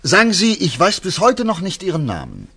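An actor in a German radio drama playing a brisk, excitable detective with a terse, insistent way of questioning. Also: male voice, high voice, vocal fry, heavily acted. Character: probing adult male detective, brisk, encouraging, excitable.

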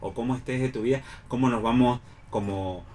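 Male radio panelist, Argentine accent, mid pitch, reflective and animated conversational delivery. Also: a little fast, a little hiss or hum. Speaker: radio panelist discussing theatre.

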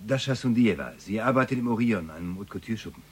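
Husky, touchy gangster henchman. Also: on the low side, animated, fast talker, darkish tone. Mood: dry, businesslike. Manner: clipped factual reporting with dry retorts. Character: touchy, dry-witted male gangster reporting to his boss. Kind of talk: radio crime drama acting.

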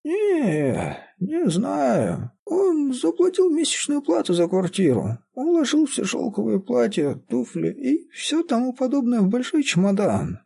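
Male reader as old female caretaker for an audiobook, high and creaky, plaintive, whining, slow to let words go. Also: huge pitch swings, mellow, exaggerated voice. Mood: plaintive, matter-of-fact. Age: elderly. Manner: whining, tearful, drawn-out gossiping. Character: plaintive half-deaf old woman caretaker. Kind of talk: audiobook character voice.

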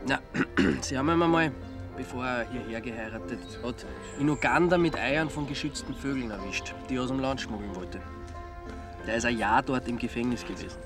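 A man's voice: mid-range voice, fairly quick, close to an everyday voice. An actor playing an inquisitive, sceptical man with an Austrian accent in a radio crime drama, casual and questioning. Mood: curious. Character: inquisitive, sceptical man asking about a murder case. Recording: music behind the voice.

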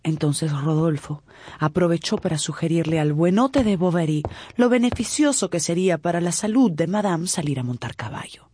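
A narrator telling a literary story in a measured, dramatic radio style. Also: mid-range voice, lively intonation, darkish tone, slight background noise. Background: music.

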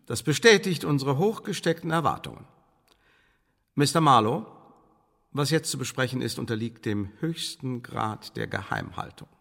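Pompous, smoothly menacing spymaster, reverberant. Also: male voice, mid-range voice, very animated, normal speed, fairly bright tone, heavily acted. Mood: officious, persuasive. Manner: formal, pompous, ornate officialese with veiled threats. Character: smooth, menacing English secret service chief, Elizabethan era. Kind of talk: German radio drama acting. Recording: studio radio play with long reverb.

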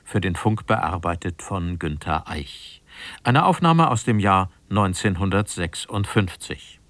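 Low, dry-sounding male radio announcer. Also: animated, a little slow, a bit bright, no room sound. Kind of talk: scripted broadcast announcement of credits.

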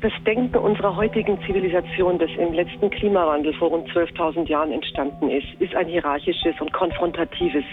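Man as high-voiced expert on tinny radio. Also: fairly even intonation, fairly quick, hardly any pauses, natural voice. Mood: earnest warning. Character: earnest, analytical expert warning of civilisation's collapse. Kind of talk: expert radio interview statement. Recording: thin radio-like band with music.

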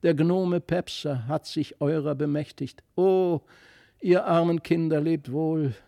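A man voicing a gentle, loving magical child in a fairy tale, lilting and wistful. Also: fairly high pitch, steady tone, slow, vocal fry. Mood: sorrowful farewell.

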